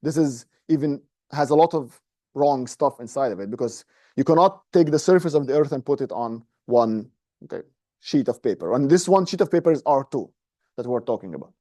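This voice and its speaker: A man's voice: mid-range voice, animated, a little fast, dark tone, dry recording, studio quality. A man in his thirties with an Egyptian accent giving an informal, explanatory classroom math lecture, often checking in with short tag questions. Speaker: university lecturer. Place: medium-sized indoor classroom, standing at a presentation screen.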